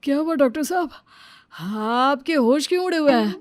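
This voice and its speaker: A woman's voice: high voice, lively intonation, normal speed, dry recording, studio quality. A woman voicing a panicking young husband in pain, with a whiny, pained voice for a cartoon. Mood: worried. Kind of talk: cartoon voice acting.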